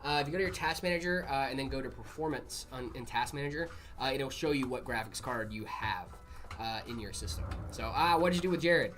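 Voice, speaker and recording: A man's voice: mid-range voice, animated, brisk, a bit bright, faint music behind. A man hosting a livestream, chatting casually in informal bro slang with lots of hesitant fillers as he reads out and answers viewer comments. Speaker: livestream host at a PC builder.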